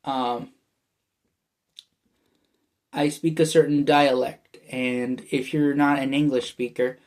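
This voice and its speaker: A man's voice: mid-range voice, little pitch movement, slow pace, a little mellow. A young man from the United States with an American accent that people mistake for Canadian, talking in a meandering casual monologue full of fillers and restarts. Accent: American, often heard as Canadian. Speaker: vlogger.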